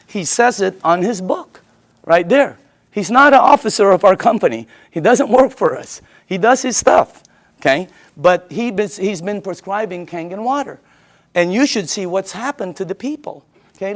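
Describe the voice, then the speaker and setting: A woman's voice: medium pitch, animated, moderate pace. A woman from the Philippines giving an informal sales presentation, emphatic and repetitive, often putting rhetorical questions to her listeners.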